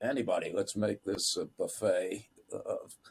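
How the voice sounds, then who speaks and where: Male panel host, lowish voice, very measured pace, academic and halting. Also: steady tone, gravelly, slightly rough. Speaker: panel moderator. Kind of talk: thoughtful moderated discussion.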